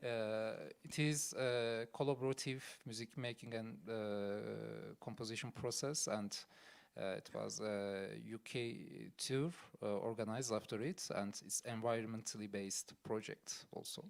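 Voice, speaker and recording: A man with a Turkish accent, a musician answering audience questions, speaking haltingly with many fillers and restarts. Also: lowish voice, steady tone, creaky, clear, resonant tone. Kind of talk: panel Q&A answers.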